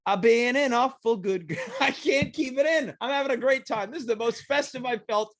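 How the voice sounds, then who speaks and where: A man's voice: very high voice, moderate pace. A man hosting a casual comedy talk show, joking and rambling playfully while congested with a cold. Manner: playful, rambling, self-mocking jokes.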